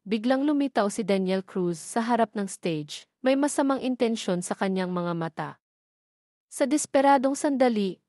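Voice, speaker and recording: A woman's voice: medium pitch, dark tone, no room sound, clean studio sound. A woman reading a scripted romance story as a dramatic narrator.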